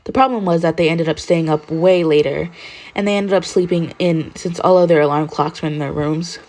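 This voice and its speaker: Low-pitched woman narrating a story. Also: fairly even intonation, fairly quick, darkish tone. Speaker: podfic narrator.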